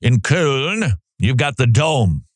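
Animated sarcastic male radio host. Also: mid-range voice, takes it slowly, no echo, clean studio sound. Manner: animated, mocking, sarcastic asides. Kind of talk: commentary on a curriculum, reading excerpts aloud.

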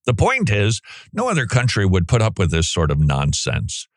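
A man behind a studio mic, hosting a talk radio show. He swings his pitch widely as he mocks and reads aloud. The sound is close and dry. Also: normal speed, dry recording. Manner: animated, mocking, sarcastic asides. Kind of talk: commentary on a curriculum, reading excerpts aloud.

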